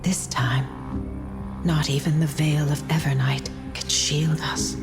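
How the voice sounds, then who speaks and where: A woman playing a grave, foreboding seeress in a fantasy video game, with a solemn, measured delivery. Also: low-pitched, steady tone. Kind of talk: English video game voice acting. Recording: clear music behind the voice.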